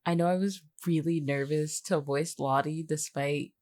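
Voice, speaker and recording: A woman's voice: low-pitched, steady tone, normal speed, darkish tone. A woman co-hosting a casual podcast discussion, talking in a hesitant, self-deprecating way. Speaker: voice actor and podcast co-host. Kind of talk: casual chatty behind-the-scenes discussion.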